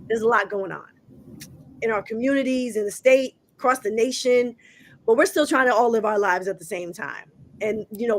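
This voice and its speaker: A woman hosting a community talk show with a group of young people, speaking in a conversational way with frequent "um"s. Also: fairly high pitch. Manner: warm, affirming, conversational with many "um"s. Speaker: host. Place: indoor room with a group.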